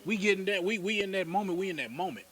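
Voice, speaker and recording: Bright-toned animated man. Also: quick pace. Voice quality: deep voice. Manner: animated, repeats himself, slangy. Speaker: guest. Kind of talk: casual podcast chat.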